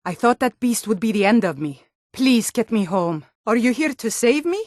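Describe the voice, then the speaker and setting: An actress playing a hardy, proud Nord warrior woman in a fantasy video game, with a blunt, forceful, martial delivery. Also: a little high, big pitch swings, normal speed, no room sound, clean studio sound. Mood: relieved, grateful.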